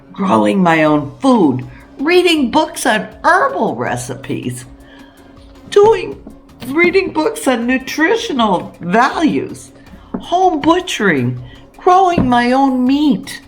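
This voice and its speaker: A woman hosting a homesteading video, talking straight to viewers in an earnest, emphatic way with rhetorical questions. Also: fairly low pitch. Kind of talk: direct-to-viewer preparedness talk.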